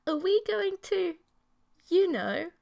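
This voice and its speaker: A woman's voice: very high-pitched, very animated, takes it slowly, creaky, a little mellow, pitched above the performer's own voice, heavily acted. A woman voicing a coy, clingy, demanding insect-monster girlfriend in an audiobook, with a pouting, bossy manner. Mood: coy, teasing.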